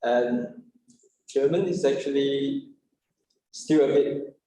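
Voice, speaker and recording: Shy young man, mellow voice. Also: medium pitch, a little hiss or hum. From Malaysia. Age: young adult. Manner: shy, halting, many yeahs and I means. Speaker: student guest. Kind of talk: casual talk show chat. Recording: echoey room.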